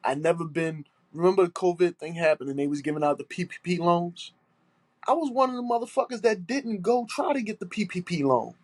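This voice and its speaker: A man's voice: high-pitched, fairly quick, no echo. A man with a stuffy nose, hosting a solo podcast and talking in an aggrieved, emphatic way, repeating his points and swearing. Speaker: podcast host.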